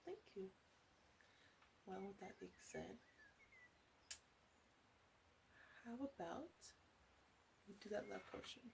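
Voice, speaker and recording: A woman playing a sly, flirty witch who runs a potion shop, in a soft-spoken ASMR roleplay with whispers and halting, teasing asides. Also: mid-range voice, lively intonation, vocal fry, noisy background. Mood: mock hurt, flirty.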